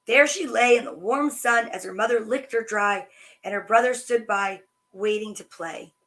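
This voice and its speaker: A woman hosting a children's story time, reading picture books aloud with chatty asides in a sing-song, animated way. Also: on the high side, normal speed.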